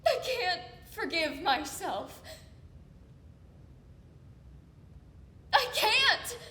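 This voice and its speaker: A woman performing an amateur monologue as an anguished, self-loathing figure, halting and tearful. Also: extremely high pitch, animated, a bit bright, heavily acted. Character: anguished, self-loathing soul begging for forgiveness. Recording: echoey room.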